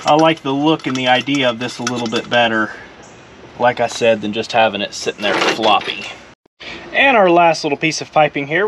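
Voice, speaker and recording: A man's voice: medium pitch, slight background noise. A man casually walking through his car build as a vlogger, explaining each step as he works and joking at his own mistakes. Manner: relaxed, self-deprecating, explains while working. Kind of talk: casual how-to walkthrough. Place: garage and inside a truck.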